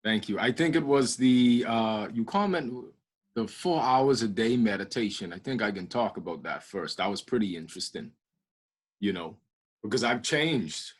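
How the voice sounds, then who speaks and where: Husky Bahamian man chatting casually. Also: lowish voice, lively intonation, normal speed. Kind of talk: casual video call chat.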